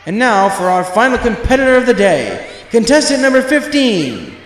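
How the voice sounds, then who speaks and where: A man voicing an excitable stadium announcer in a storybook, with grand, drawn-out showman delivery. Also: extremely high pitch, echoey room, long reverb tail, pitched above the performer's own voice, poor audio. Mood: booming, excited. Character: booming, excitable stadium announcer at a flying contest.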